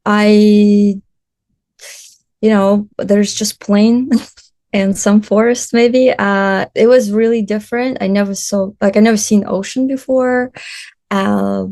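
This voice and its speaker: A woman's voice: medium pitch, fairly even intonation, slow pace, mellow. A woman in her thirties, born in Russia with Russian as her first language, chatting casually as a podcast guest, laughing often and making small grammar slips. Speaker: podcast guest, photographer and traveler. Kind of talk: casual interview chat.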